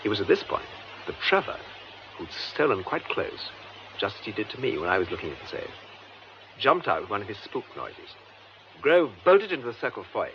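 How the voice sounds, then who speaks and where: An actor playing a composed, methodical English police superintendent in a radio drama, with measured, formal, precise delivery. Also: male voice, medium pitch. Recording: muffled lo-fi radio drama, faint noise.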